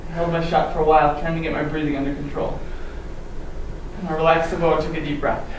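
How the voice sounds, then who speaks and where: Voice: medium pitch, flat delivery, fast, natural voice. A performer playing an earnest, competitive young camper telling a first-person story in readers theatre style. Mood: tense. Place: large room or hall, distant mic. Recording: echoey hall from far off, faint noise.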